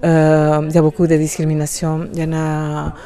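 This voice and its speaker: Guest: middle-aged woman, low flat voice, halting delivery. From Colombia. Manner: hesitant, many pauses and fillers. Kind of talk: interview conversation.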